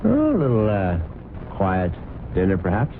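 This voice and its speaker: An actor playing a vain, nervous wealthy husband who narrates, smug and suave but easily rattled, in an old radio drama. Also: male voice, low voice, huge pitch swings, measured pace, background noise, full character voice. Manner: smug, suave, then jittery. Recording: narrow lo-fi old radio broadcast with music.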